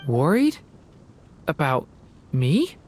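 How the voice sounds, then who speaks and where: A performer voicing a gentle, uncertain boyish young man in a video game, speaking hesitantly and thoughtfully. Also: mid-range voice, wildly swooping pitch, drawn-out words, soft background music, exaggerated voice. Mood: apologetic, touched. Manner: hesitant, soft-spoken, thoughtful. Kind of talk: earnest game voice acting.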